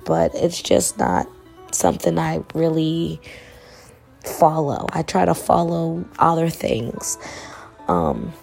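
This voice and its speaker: Podcast host, female, hoarse, loose rambling delivery, very gravelly, faint music under. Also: low-pitched, takes it slowly. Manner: rambling, relaxed, colloquial. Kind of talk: casual solo podcast chat.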